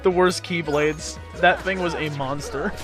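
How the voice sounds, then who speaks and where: A man with a slightly high voice hosts a let's play. He talks in a slangy, casual way with playful sarcasm, and video game music plays behind him. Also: fairly even intonation, a little slow. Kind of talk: casual joking gameplay commentary.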